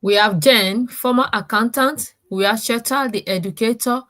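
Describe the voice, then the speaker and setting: A woman's voice: a little high, moderate pace, fairly bright tone. Woman, brief and formal. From Nigeria.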